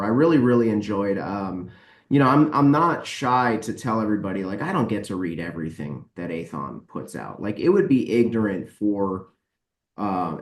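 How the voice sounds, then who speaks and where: Male podcast guest, lowish voice, informal and rambling with some emphasis, audibly roomy recording. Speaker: podcast guest, publisher and author. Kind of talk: casual, conversational interview answers.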